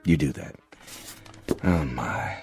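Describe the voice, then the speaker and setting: A man's voice: extremely low pitch, takes it slowly, a little mellow, soft background music. An actor playing a courteous, mournful male servant, solemn and deferential, in a radio drama. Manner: solemn, deferential, repeats phrases.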